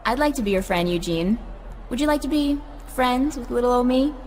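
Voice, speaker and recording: A woman's voice: a little high, lively intonation, a little fast, a little mellow, natural voice. An actress playing a flirtatious, manipulative female wrestling champion in a video game, sugary and coaxing, in noisy audio.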